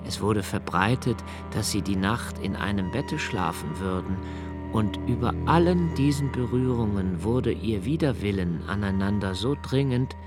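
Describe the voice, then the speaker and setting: An actor playing a brooding, solitary diarist in a radio play, with a measured, introspective delivery and an anguished, searching temper. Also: male voice, on the low side, expressive, music in the background, lightly acted. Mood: somber reflection. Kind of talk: literary monologue in a radio play.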